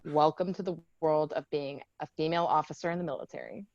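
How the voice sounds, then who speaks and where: Actress as a defiant wounded woman Marine, low voice. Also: steady tone, fast, a little mellow, no echo, highs cut off. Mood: bitter sarcasm. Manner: sarcastic, emotional. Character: wounded female Marine officer, sarcastic, defiant, fighting back. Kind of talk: screenplay table read acting.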